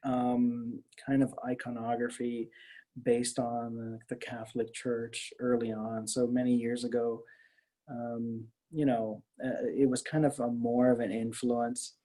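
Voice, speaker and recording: A man's voice: medium pitch, little pitch movement, unhurried, dark tone, rough recording. A middle-aged American man from the Miami area talking casually as an artist being interviewed, in a hesitant, rambling way with repeated words. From Miami area, Florida, US.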